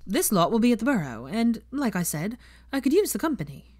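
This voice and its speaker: A woman's voice: a little high, sing-song, fairly quick, darkish tone, no room sound, studio quality, pitched above the performer's own voice. A woman voicing a knowing, wry grandmother in a story reading, matter-of-fact in manner. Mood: inviting. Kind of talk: fan fiction audiobook character voice.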